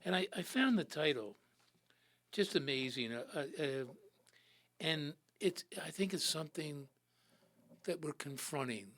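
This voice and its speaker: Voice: mid-range voice, expressive, measured pace, pauses often, fairly bright tone. A host chatting with a guest poet, hesitant with frequent restarts. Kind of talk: casual chat with a guest.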